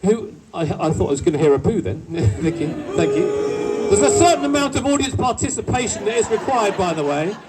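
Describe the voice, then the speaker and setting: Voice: mid-range voice, very animated, fairly quick, distant mic, low-quality recording. A speaker giving a protest speech to a crowd in a town square, with playful asides to the audience. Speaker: rally speaker. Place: outdoor town square rally. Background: faint crowd.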